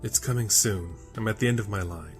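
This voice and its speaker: A man's voice: lowish voice, a little fast, faint music behind, close to an everyday voice. An actor playing a defiant, anguished male time traveller, with intense, dramatic delivery in an audio drama. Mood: anguished, urgent. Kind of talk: audio drama character dialogue.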